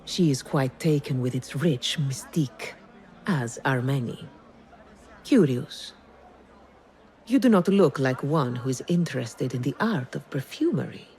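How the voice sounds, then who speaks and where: An actress playing a poised, shrewd and enigmatic perfumer in a video game, elegant and formal in her measured speech. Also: rumbling low, animated, a little mellow, full character voice. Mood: poised, probing. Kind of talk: dramatic game cutscene acting.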